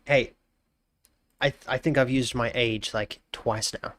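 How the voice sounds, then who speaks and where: A man's voice: mid-range voice, steady tone, measured pace, no room sound. A man in his twenties with a blocked nose, chatting casually and jokingly on a live stream, often trailing off into mumbles. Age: 20s. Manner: joking, greets chat warmly, trails off into mumbles.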